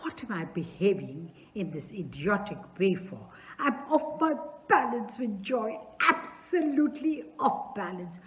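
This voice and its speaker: A woman's voice: lively intonation, measured pace, hardly any pauses. A woman reading aloud as an effusive, fussy older male landowner who showers his guest with endearments. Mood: overjoyed. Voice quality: gushing, loud and high-pitched endearments.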